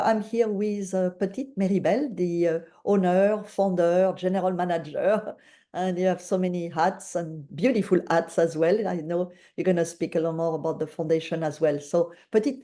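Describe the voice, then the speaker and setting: A woman's voice: lowish voice, moderate pace, dark tone. A woman with a French accent hosting a video call, speaking with frequent fillers. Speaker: webinar host.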